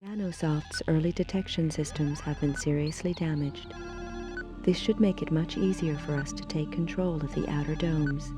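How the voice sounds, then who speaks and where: An actress playing a stern police commander, delivering a formal, authoritative scripted mission briefing in a video game. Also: female voice, deep voice, steady tone, moderate pace, natural voice. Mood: stern, businesslike. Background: music.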